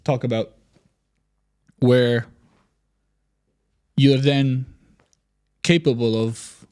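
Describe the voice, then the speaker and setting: A man's voice: mid-range voice, steady tone, a little mellow, clear, resonant tone. Man asking long questions on a podcast. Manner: measured, with fillers, builds long questions.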